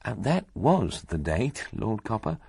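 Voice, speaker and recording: A man's voice: lowish voice, big pitch swings, a little slow, gravelly, darkish tone, husky, no echo, clean studio sound, full character voice. A man voicing a weary, deferential middle-aged editor who answers in a subdued, resigned way.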